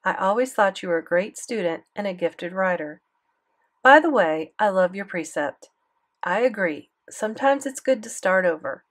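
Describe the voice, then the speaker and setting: A woman's voice: mid-range voice, animated, normal speed, natural voice. A woman reading as a kind male teacher. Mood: gentle. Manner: gentle, earnest. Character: kind male middle-school teacher, gently advising.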